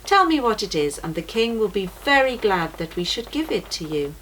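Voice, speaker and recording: A woman voicing a gentle, generous male shepherd in a children's storybook. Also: medium pitch, very animated, normal speed, low-quality recording. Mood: gentle, kind. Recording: noticeable background noise.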